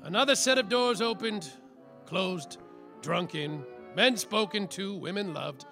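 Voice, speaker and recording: An actor playing a world-weary, semi-sober, hapless private detective with melodramatic, wry delivery in a noir radio parody. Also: male voice, high voice, lively intonation, measured pace, creaky, sharp tone, soft background music, full character voice. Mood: wry narrating. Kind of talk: hard-boiled noir narration, radio play parody.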